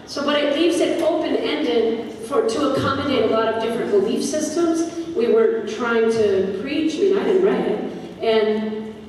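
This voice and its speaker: A woman's voice: fairly high pitch, normal speed, darkish tone. A woman drama director talking informally and explaining things to an audience in a question-and-answer session. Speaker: drama director leading a post-show talkback. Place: large indoor hall with audience. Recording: echoey hall from far off, audience noise.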